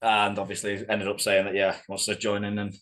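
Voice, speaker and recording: A man's voice: fairly low pitch, monotone, a little fast, a bit bright. A man who plays guitar in a band, chatting casually in an interview in a blokey, slangy way.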